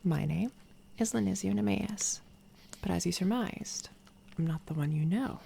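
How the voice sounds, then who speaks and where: Smug evil-twin villainess, played by a woman, ASMR roleplay: soft, whispery, taunting, dark-edged brightness kept low, heavy vocal fry. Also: a little hiss or hum. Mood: smug, mocking. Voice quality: soft, breathy, often slipping into whisper. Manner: taunting, condescending, unhurried. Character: smug, menacing villainess doppelganger from another timeline. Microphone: close binaural microphone.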